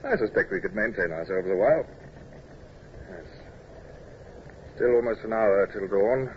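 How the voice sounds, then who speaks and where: An actor playing a middle-aged British naval commodore in an old-time radio drama, clipped, commanding and urbane, who also narrates the battle. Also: male voice, fairly low pitch, moderate pace, lightly acted. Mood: measured, confident. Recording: dull, noisy old radio broadcast recording.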